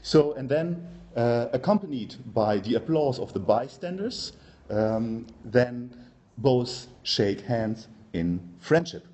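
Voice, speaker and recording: A man's voice: medium pitch, lively intonation, slow pace, darkish tone. A middle-aged man with a German accent giving an academic workshop presentation, hesitant and full of ums and uhs. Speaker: university lecturer.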